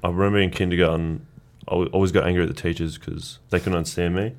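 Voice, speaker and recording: A young Australian man whose first language is Croatian, telling stories in a relaxed, rambling way as a guest in a casual chat. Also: sits low, fast talker. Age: young adult. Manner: relaxed, rambling storytelling with restarts. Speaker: guest athlete.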